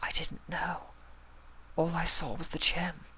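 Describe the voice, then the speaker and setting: A man reading a fanfic aloud and voicing a refined, remorseful young female pony with a soft, pleading delivery. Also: on the high side, steady tone, unhurried, slightly rough, voice pushed up, full character voice.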